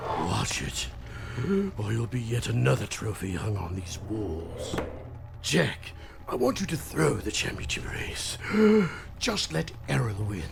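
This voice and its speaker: An actor voicing a seedy, scheming male crime boss in a video game, gravelly, oily and threatening. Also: on the low side, big pitch swings, slow, noisy background, exaggerated voice. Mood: gruff, threatening, then coaxing, conspiratorial. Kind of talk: video game cutscene acting. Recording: music under the voice. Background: music.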